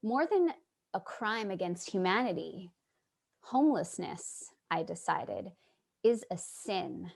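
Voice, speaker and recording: A woman's voice: mid-range voice, gravelly. An actress playing a thoughtful, hesitant, searching woman in a staged play reading over a video call.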